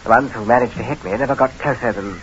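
An actor playing a wounded young English spy, weakened but ardent, halting and dramatic in old-time radio drama style. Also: male voice, mid-range voice, close to an everyday voice. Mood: recounting. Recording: dull, hissy old radio recording.

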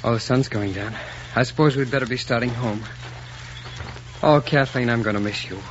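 An actor playing a courteous, earnest young suitor who speaks in a polite, measured way in an old romantic drama. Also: male voice, medium pitch, natural voice. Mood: tender, amused. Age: young adult. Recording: dull, noisy old film soundtrack.

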